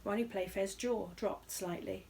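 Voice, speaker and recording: A woman's voice: mid-range voice, a little slow, dark tone. A woman reading a children's story aloud as storyteller, in scripted narration.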